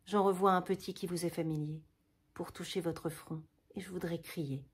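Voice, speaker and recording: A woman voicing an aging wounded male poet, tender and proud, who speaks softly and faintly, as if dying. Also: on the low side, fairly even intonation, normal speed, slightly rough. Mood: hushed, tender. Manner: soft, hushed and dying.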